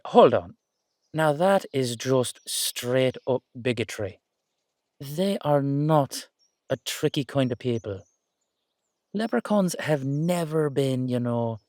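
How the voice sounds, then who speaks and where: A man plays an Irish dullahan rider in a roleplay audio drama, exasperated yet fond. He has a mid-pitched male voice and talks in slangy, coaxing, teasing banter. The sound is dry and close. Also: unhurried, a little mellow, no echo, close to an everyday voice. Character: exasperated, affectionate Irish dullahan rider talking to his horse.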